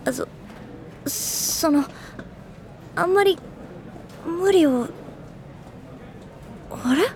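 A woman's voice: very high voice, animated, very slow, long pauses, a little mellow, a little hiss or hum, exaggerated voice. A woman voicing a prickly, aloof teenage schoolgirl who hides her concern, talking in a curt, awkward way in a Japanese audio drama. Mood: flustered.

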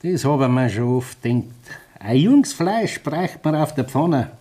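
An actor playing a wry middle-aged Bavarian drinking companion in a radio drama, teasing in colloquial dialect. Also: male voice, mid-range voice, animated, measured pace, warm tone. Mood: teasing, amused.

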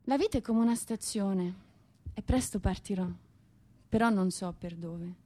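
An actress playing a proud, rebellious woman poet in an intense, declamatory theatrical monologue that swells and hushes. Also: mid-range voice, normal speed, lightly acted. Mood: wistful, brooding.

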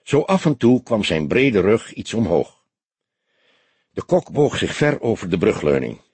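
A man's voice: lowish voice, big pitch swings, unhurried, darkish tone, husky, no room sound. A man narrating a scripted crime story as an audiobook reader.

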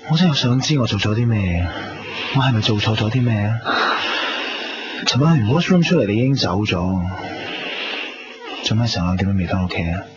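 A man's voice: on the low side, expressive, brisk, pauses often, natural voice. An actor playing an anxious, questioning young man in a 1990s radio drama, with a Hong Kong Cantonese accent. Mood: concerned, puzzled. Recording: dull 1990s radio recording, loud music behind.

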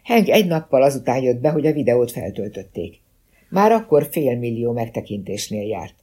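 A defensive rookie policewoman , voiced by a male narrator, explains herself uneasily in an audiobook. The voice is husky and soft-edged rather than bright. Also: medium pitch, very animated. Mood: reporting, hesitant.

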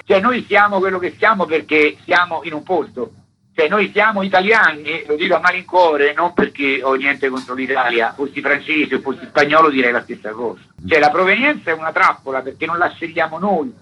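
A man with a fairly high, sharp-edged and husky voice gives a radio interview about his own stage practice. He talks at length in an emphatic, reflective way, often clipping a point shut with a short tag word. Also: low-quality recording. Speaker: stage performer and theatre maker.

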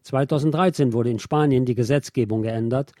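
A man's voice: mid-range voice, brisk, dark tone, no echo, studio quality. A man hosting a radio programme, reading a printed interview aloud as scripted narration. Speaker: radio show host.

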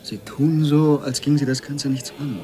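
A man's voice: medium pitch, steady tone, moderate pace, mellow, soft background music, lightly acted. An actor playing a reproachful magazine promoter in a radio drama, pressing hard to get his way. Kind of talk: German radio drama acting.

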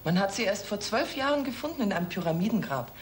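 A woman's voice: mid-range voice, lively intonation, fast talker, close to an everyday voice. A woman playing a knowing, watchful middle-aged mother in an audio drama, with a probing manner. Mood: impressed. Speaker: actor in a German audio drama. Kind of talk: German audio drama acting. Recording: roomy sound with faint noise.

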